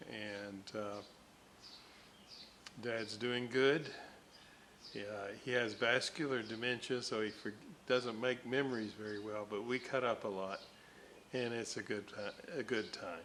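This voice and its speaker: An older man giving casual, halting, folksy narration as a hobbyist at his workbench. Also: mid-range voice, fairly even intonation, a bit bright, slight background noise. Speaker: radio restoration hobbyist and host.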